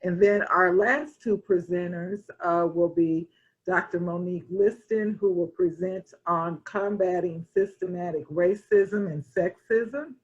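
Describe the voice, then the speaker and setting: Husky woman on a muffled call. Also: on the low side, darkish tone. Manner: announcing schedule, formal welcome. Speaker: student program manager. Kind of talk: webinar announcements. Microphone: video call.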